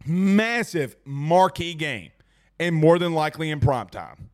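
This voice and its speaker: Hoarse, excitable man, a bit high. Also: a little slow. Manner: excitable, emphatic, repeats lines for effect. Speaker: sports talk show host. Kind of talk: casual sports talk show, reading viewer comments.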